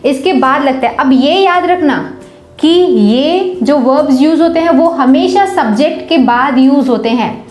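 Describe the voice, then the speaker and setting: A woman teaching a beginners' English grammar lesson at a board. She patiently asks the class questions, answers them herself, and says example sentences slowly, word by word. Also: high-pitched, a little mellow, echoey room.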